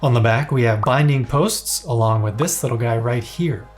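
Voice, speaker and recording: A man's voice: mid-range voice, moderate pace, faint music behind. A man giving scripted, playful and enthusiastic narration for a product review.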